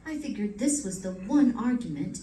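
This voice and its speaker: A woman's voice: medium pitch, expressive, moderate pace, mellow, natural voice. A woman playing a poised, witty detective's wife in a live radio play, with a dry, teasing manner. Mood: arch amusement. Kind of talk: live old-time radio drama acting. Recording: roomy stage, distant mic, faint noise.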